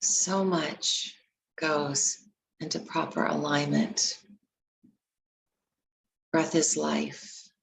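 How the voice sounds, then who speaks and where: A woman leading a guided meditation, giving gentle, unhurried instructions with long pauses between phrases. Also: low-pitched, fairly even intonation, vocal fry, low-quality recording.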